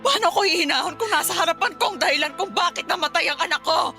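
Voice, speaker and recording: A high female voice with a Filipino accent, a mother shattered by loss. She is bitter and raw with tears, in the heightened manner of radio drama, over faint music. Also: fast, sharp tone, exaggerated voice. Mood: angry, anguished. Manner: tearful, emotionally raw delivery. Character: grief-stricken, bitter mother mourning her young son.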